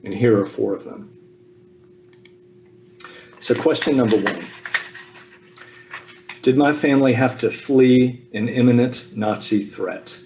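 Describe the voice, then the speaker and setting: A man in his fifties from the American South, giving a relaxed personal talk over a video call with frequent ums and asides. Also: medium pitch, slow, long pauses, highs cut off, noisy background. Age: 50s. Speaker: speaker at a Zoom talk. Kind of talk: personal family history talk.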